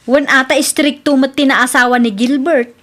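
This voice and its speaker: Radio drama role: fed-up wife, blunt, very high female voice played by a woman. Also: fairly even intonation, normal speed, fairly bright tone. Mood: matter-of-fact. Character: exasperated, plain-spoken wife of a drinking man. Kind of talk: Ilocano radio drama acting.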